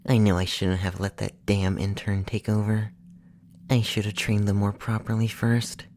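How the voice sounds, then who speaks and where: Male actor as alien abductor, ASMR roleplay, hushed half-whisper, creaky low-key murmur, curious, condescending, close mic, noisy ambience. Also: level tone, moderate pace, lightly acted. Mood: worried, exasperated.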